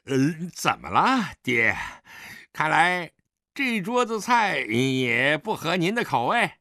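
A man's voice: medium pitch, wildly swooping pitch, unhurried, pushed and bright, no echo, studio quality, exaggerated voice. A man acting a drunk, defiant grown son who taunts his father insolently in an audiobook drama. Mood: brash. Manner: insolent, taunting, slightly stumbling speech.

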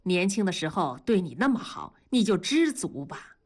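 A woman's voice: on the low side, very animated, normal speed, a little mellow. Woman playing a frank, worldly-wise elder sister. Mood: frank, admonishing. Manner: earnest, admonishing.

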